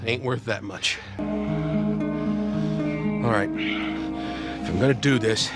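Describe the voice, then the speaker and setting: Gruff, lowish-voiced survivor mechanic. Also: male voice, takes it slowly. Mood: grudging. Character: gruff, grudging small-town survivor and mechanic. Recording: loud guitar music behind.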